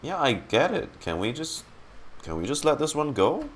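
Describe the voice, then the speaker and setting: Male game streamer, casual and halting, exasperated delivery with animated intonation, slight background noise. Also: medium pitch. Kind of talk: casual live reaction commentary.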